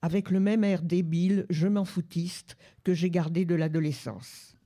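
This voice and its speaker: A woman reading a first-person literary excerpt aloud in a calm, measured way at a library radio event. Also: fairly low pitch. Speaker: reader at a book club radio show. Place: public library.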